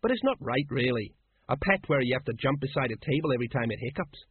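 A man voicing a stolid, slow-witted, grumbling watch sergeant with plain colloquial speech in a fantasy audiobook. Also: medium pitch, expressive, slight background noise, natural voice. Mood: reasoning. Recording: thin, band-limited, dry phone-like sound.

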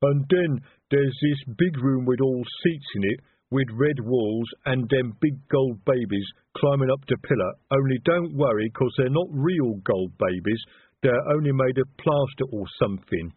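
Big slow dopey troll, earnest n plodding, bad grammar, thin phone-like sound. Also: male voice, mid-range voice, husky, dry recording, voice pushed up. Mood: earnest, expansive. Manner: ponderous, uneducated, ungrammatical speech. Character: big slow-witted male troll, earnest and proudly cultured. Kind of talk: audiobook character voice.

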